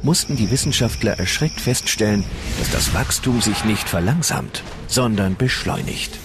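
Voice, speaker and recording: A man narrating a science documentary in a calm, measured way, recorded as a studio voice-over. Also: lowish voice, noisy background. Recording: voice-over with music underneath. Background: music bed.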